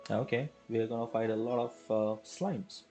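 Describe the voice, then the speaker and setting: A woman's voice: a bass voice, fairly even intonation, normal speed, over loud music. A woman streaming a game live, chatting and thinking aloud with fillers as she plays. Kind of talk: live gameplay commentary.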